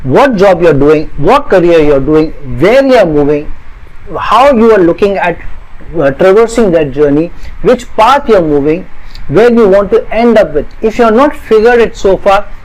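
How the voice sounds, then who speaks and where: A man's voice: high voice, animated, moderate pace, a little mellow, poor audio. A middle-aged man from India, an astrologer, giving an earnest explanatory talk and stacking up long lists of parallel phrases.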